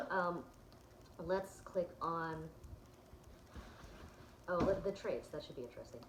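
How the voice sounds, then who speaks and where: A woman's voice: fairly low pitch, expressive, slow, echoey room, slight background noise, low-quality recording. A woman vlogger chatting casually as she clicks through her results, with plenty of ums and restarts. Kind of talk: casual chat, walking through results on screen.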